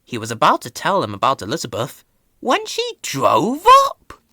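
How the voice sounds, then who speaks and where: A woman's voice: very deep voice, wildly swooping pitch, moderate pace, sharp tone, dry recording, clean studio sound. A woman reading a children's story as a scripted narration. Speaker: storybook reader.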